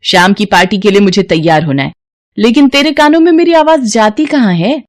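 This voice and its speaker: A woman's voice: mid-range voice, lively intonation, fairly quick, close to an everyday voice. Lady doing a snobby rich madam for a cartoon story, bossy, scornful, always scolding the maid. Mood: impatient, scolding. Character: arrogant, greedy rich mistress scolding her maid.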